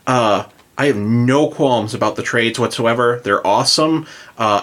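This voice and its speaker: An American man of about forty talks casually as a podcast host. His voice sits at an ordinary male pitch, and he explains his views with informal enthusiasm. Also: a bit bright. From rural United States, grew up on a farm. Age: 30s to early 40s.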